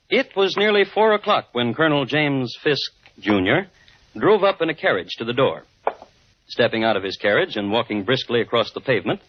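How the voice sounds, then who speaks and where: An actor playing a formal, deadpan crime historian who narrates a 1950s radio show. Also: male voice, mid-range voice, a little hiss or hum, lightly acted. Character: dry, measured male crime historian hosting grim tales. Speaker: host and narrator. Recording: dull vintage 1950s radio recording.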